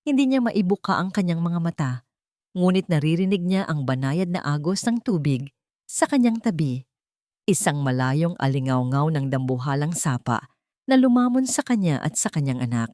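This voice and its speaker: Low-voiced woman narrating a dramatic story. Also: sing-song, normal speed, warm tone, no room sound, clean studio sound.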